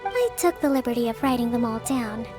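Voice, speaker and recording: An actress playing a gentle, composed young female leader in a video game, with measured, formal, articulate speech. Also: on the high side, very animated. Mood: composed, caring. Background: faint game background music.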